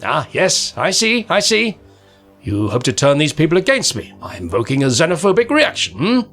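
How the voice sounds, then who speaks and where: A man puts on a defiant old alien traveller for an audiobook reading, lifting his voice well above his own to a sharp, theatrical height. The figure needles and probes with mocking questions. Faint music sits behind the reading. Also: fairly high pitch, wildly swooping pitch, moderate pace, heavily acted.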